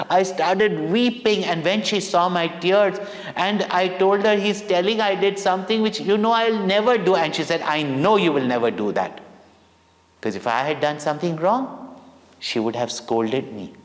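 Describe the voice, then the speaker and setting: A man with an Indian accent telling devotional stories to children in a deliberate, theatrical way with dramatic pauses and emphasis. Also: fairly high pitch. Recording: long echoing hall reverb.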